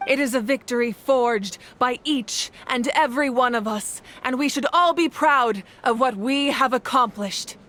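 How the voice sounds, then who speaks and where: An actress voicing a solemn, commanding ruler in a video game, speaking in a formal, measured, stately way. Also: female voice, sits high, a bit bright, a little hiss or hum. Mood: proud, resolute. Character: commanding, solemn female war leader and ruler of a nation. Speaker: leader addressing her people. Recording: music behind the voice.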